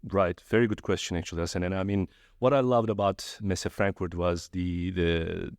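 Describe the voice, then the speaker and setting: Deep-voiced Turkish-born man, guest. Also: normal speed, no room sound, clean studio sound. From Turkey. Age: middle-aged. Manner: friendly, animated storytelling with restarts. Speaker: guest, trade fair executive. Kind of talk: conversational interview.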